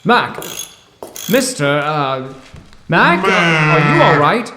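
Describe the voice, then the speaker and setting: An actor playing a bewildered, bookish poetry professor in a 1930s-style radio drama, with mannered old-fashioned phrasing. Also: male voice, fairly high pitch, very animated, takes it slowly, sharp tone, roomy sound, exaggerated voice. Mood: polite, uneasy.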